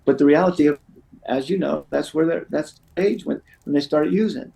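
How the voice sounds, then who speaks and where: A man's voice: fairly high pitch, brisk, gravelly, dark tone. A middle-aged man chatting as an interview guest, a recovery counselor who rambles and often says "you know". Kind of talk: conversational interview, sharing personal anecdotes.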